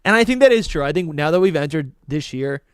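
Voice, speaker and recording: A man's voice: fairly high pitch, animated, fast, clean tone, no room sound, clean studio sound. A young man with a New England accent, casually co-hosting a podcast livestream and reading viewer comments. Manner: laid-back, reads viewer comments aloud. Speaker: podcast host.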